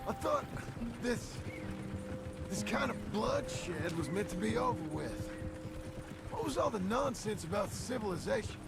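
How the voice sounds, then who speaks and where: Gravelly cowboy rancher, gruff and cussing, voice jumps all over. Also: male voice, sits high, lively intonation, unhurried, long pauses, loud music behind the voice, full character voice. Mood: bitter. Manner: gruff, blunt, cursing. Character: weary, hot-tempered outlaw turned rancher, male. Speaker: frontier rancher. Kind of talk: video game cutscene dialogue.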